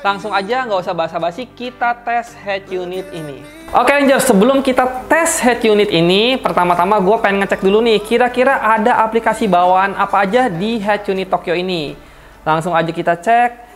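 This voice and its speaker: A woman's voice: mid-range voice, moderate pace, soft background music. A woman giving a chatty, slangy product review, talking straight to her viewers. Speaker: car audio reviewer.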